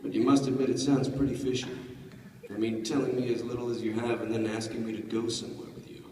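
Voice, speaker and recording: A man acting a wealthy, self-assured, skeptical gentleman in a 1940s-style radio drama read on stage. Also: mid-range voice, flat delivery, fast, lightly acted. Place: large indoor convention panel room. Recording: echoey convention hall, distant mic, loud crowd.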